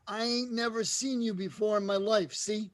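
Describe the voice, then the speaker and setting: A man as a high-voiced, slangy cabbie. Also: steady tone, normal speed, lightly acted. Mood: suspicious. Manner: slangy, plain-spoken. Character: suspicious, working-class male cab driver. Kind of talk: 1940s radio drama acting.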